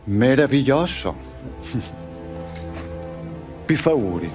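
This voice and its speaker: A man with an Italian accent playing an excitable, polite safecracker in a video game cutscene, in a drawn-out, theatrical way. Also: medium pitch. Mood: courteous, appreciative. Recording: dramatic music behind the voice.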